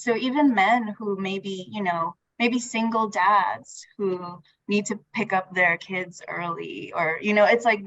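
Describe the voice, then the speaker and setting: A woman whose native language is Tagalog rambles casually in a discussion, often saying "like" as she works through her thoughts. Her pitch is average and the sound is dry. The recording is a little dull and lo-fi. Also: fairly bright tone, dry recording. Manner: rambling, thinking aloud, frequent "like".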